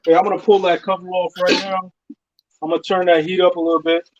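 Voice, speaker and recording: A man with a slightly high voice chats casually through his cooking, step by step. The sound is very dry and a little muffled, like lo-fi stream audio. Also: level tone, fast, no echo. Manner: informal, explaining each step as he cooks. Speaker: guest cook on a live cooking show. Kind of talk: casual cooking demonstration chat.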